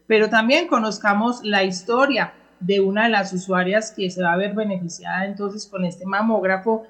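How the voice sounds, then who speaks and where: A woman's voice: mid-range voice, moderate pace. A woman with a Colombian accent presenting news on the radio and introducing reports.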